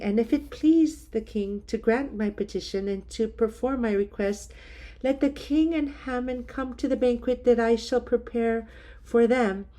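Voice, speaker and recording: A woman's voice: on the high side, dark tone, pitched above the performer's own voice, natural voice. A woman voicing an earnest young queen in a scripture reading, her voice raised and heightened.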